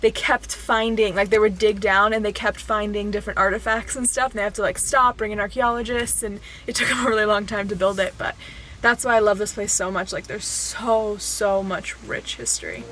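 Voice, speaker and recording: A woman's voice: medium pitch, a little fast, sharp tone. A young woman from Bulgaria chatting casually as a travel vlogger, explaining the sites with lots of "like" fillers. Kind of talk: casual vlog narration. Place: outdoors at monuments and sites. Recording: faint outdoor and vehicle noise.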